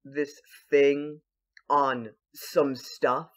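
A man's voice: medium pitch, little pitch movement, drawn-out words. A man playing a sarcastic, teasing schoolboy in an audio play, with a dry manner. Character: sarcastic, teasing schoolboy mocking his friend.

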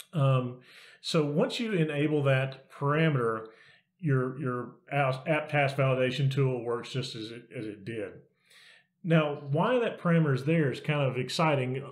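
A man in his forties giving a casual how-to talk on camera from his home office, relaxed and conversational, often restarting his sentences. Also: mid-range voice. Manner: relaxed, conversational with frequent restarts and repeated words. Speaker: software consultant. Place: home office with bookshelves, indoors. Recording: roomy echoey small room.

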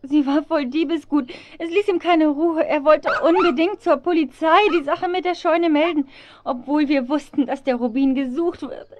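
A woman's voice: high-pitched, steady tone, normal speed, lightly acted. An actress playing a frail, worried wife and mother in an audio drama, earnest and plaintive. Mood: anxious, pleading. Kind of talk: 1970s German radio play acting. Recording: dull vintage audio-drama recording.

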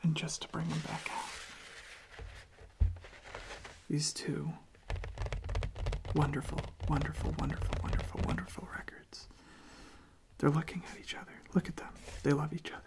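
A young man hosts ASMR in a breathy whisper that stays very even. There is a clear creaky fry in his voice. He takes his time, pausing gently as he goes. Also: on the high side. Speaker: ASMR video host. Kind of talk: soft-spoken whispered ASMR.

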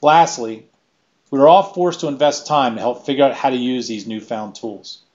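A middle-aged man giving a scripted keynote presentation in a measured, list-by-list delivery, recorded on a webcam in a small room. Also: mid-range voice, darkish tone, highs cut off. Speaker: keynote speaker.

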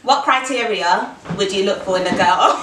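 A woman's voice: mid-range voice, normal speed. A young woman co-hosting, setting up questions with easy laughter. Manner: explains, laughs easily. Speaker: co-host. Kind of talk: casual group chat. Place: living room, indoors. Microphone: far camera mic. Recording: echoey room from far off, loud noise.

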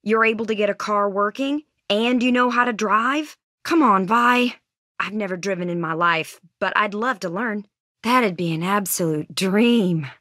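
A woman's voice: mid-range voice, unhurried, fairly bright tone, no echo, clean studio sound, lightly acted. A woman playing an earnest, anxious teenage girl survivor in a video game, friendly and folksy but hesitant and weighed down by guilt. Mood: friendly, upbeat. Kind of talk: naturalistic video game voice acting.

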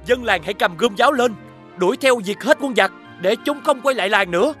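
A man voicing a brave, resolute young village hero in an animated fairy tale, earnest and rallying. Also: high-pitched, fairly quick, faint music behind. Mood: urging.

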